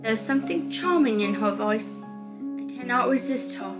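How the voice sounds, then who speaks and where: A woman's voice: fairly high pitch, lively intonation, moderate pace, music in the background. A woman reading scripted first-person narration from a visual novel aloud.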